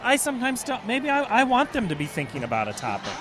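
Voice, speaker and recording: A man's voice: very high voice, wildly swooping pitch, fast talker. A man hosting a podcast, talking casually and rambling, often correcting himself mid-sentence. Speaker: podcast host. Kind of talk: casual sports talk.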